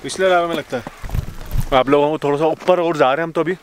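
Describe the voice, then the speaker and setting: उत्साहित आवाज़ में बातें करता नौजवान व्लॉगर. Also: male voice, a little high, quick pace. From Pakistan. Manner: chatty, excitable, laughs often. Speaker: travel vlogger. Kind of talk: casual travel vlog. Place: outdoors on snowy mountain road. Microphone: handheld camera at arm's length. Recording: outdoor noise, wind and vehicles.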